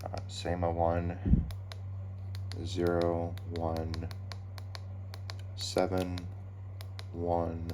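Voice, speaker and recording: Slow, low-voiced man unboxing gadgets. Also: completely flat delivery, dark tone. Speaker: hobbyist unboxing weather radios. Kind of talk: casual unscripted unboxing commentary. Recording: clear background noise, handling clicks.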